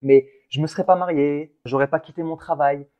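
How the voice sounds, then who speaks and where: A man's voice: fairly high pitch, fairly even intonation, fairly quick, darkish tone. A man co-hosting a casual motivational podcast, talking in an earnest, encouraging way with frequent restarts. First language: French. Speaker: podcast co-host.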